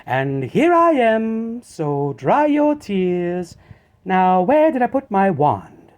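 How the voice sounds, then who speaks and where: Storybook fairy godmother, male narrator in falsetto-leaning voice: motherly, fussy, high-pitched, unhurried. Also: sing-song, full character voice. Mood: gentle, reassuring. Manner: drawn-out, deliberate delivery. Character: kindly, motherly, fussing fairy godmother, older woman. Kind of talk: children's storybook read-aloud.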